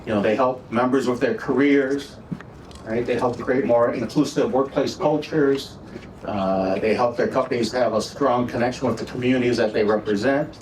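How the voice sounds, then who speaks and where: A middle-aged man with a Minnesota accent gives an informal talk at an awards event, pitched in a medium register. He speaks in a friendly, halting way, leaning on "uh" and "right?". His voice is picked up from a distance in a reverberant room with faint noise behind him. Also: darkish tone. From Texas, raised in Minnesota. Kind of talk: casual speech to an audience. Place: event hall. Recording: echoey room, voice from far off.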